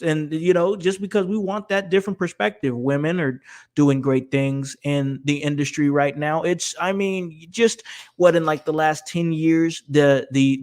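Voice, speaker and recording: A man hosting a wrestling talk stream, chatting casually with lots of restarts and fillers. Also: medium pitch. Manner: rambling, many restarts and fillers. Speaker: podcast host. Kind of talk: casual livestream chat.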